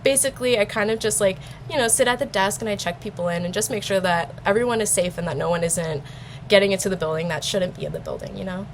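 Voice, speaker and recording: A woman's voice: medium pitch, fast. Nervous young woman, noisy recording. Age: young adult. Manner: nervous, hesitant, rambling with "like" and "you know". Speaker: vlogger and interviewee. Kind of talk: casual chat.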